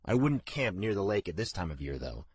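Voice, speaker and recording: A man voicing a plain, straightforward middle-aged father who speaks in a measured way. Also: low-pitched, big pitch swings, breathy edge, no echo, heavily acted. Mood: wry.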